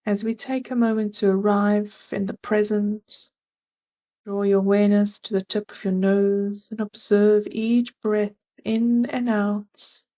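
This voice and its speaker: A woman leading a scripted guided meditation, giving gentle, measured and soothing instructions. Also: mid-range voice.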